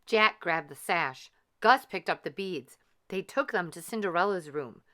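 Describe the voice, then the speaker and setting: A woman reading a children's storybook aloud with careful, expressive narration. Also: fairly low pitch, measured pace. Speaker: storybook reader.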